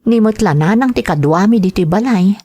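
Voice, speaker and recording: Woman acting as village mother, Ilocano accent, middle-aged, caring, worried, expressive sighing delivery, radio drama. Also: mid-range voice, fairly quick, close to an everyday voice. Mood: worried, pitying. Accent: Filipino, Ilocano.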